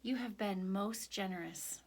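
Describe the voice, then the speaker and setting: A woman voicing wandering monks in a children's storybook, with a kindly, musing, gently suggestive manner. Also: medium pitch, unhurried. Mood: grateful, gentle. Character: wandering Buddhist monks, gently coaxing and appreciative. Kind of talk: children's storybook character reading.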